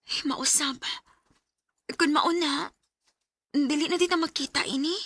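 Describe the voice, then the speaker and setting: A woman's voice: high-pitched, steady tone, a little slow, fairly bright tone, dry recording. A woman playing an earnest, easily hurt young student in love in a sentimental radio drama, with a Filipino Bisaya accent. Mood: worried.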